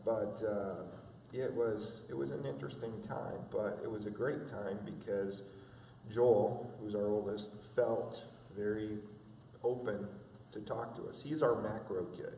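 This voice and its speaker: A man in his fifties, a father, reflecting in a halting, filler-heavy way. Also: lowish voice, steady tone, vocal fry, dark tone, slight background noise. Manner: halting, many fillers and restarts. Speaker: interview guest, father. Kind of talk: reflective conversational interview. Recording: echoey room from a distance.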